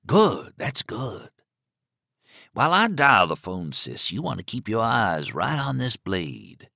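Man playing a menacing, taunting killer, bright and forceful. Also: fairly low pitch, big pitch swings, takes it slowly, gravelly, dry recording, clean studio sound, voice pushed up, exaggerated voice. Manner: taunting, commanding, slangy. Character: menacing blond male killer, coaxing, sadistic.

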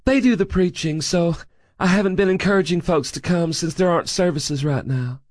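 A man voicing a gentle, hospitable woman preacher with a soothing, courteous manner. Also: high-pitched, normal speed, dry recording, studio quality, voice pushed up, close to an everyday voice. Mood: soothing, modest.

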